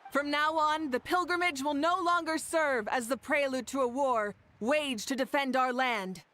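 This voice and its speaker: A woman's voice: sits high, normal speed, fairly bright tone. A woman voicing a commanding, formal female leader in a video game. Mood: solemn, rousing. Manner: formal, oratorical. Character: commanding, rousing female leader addressing her warriors.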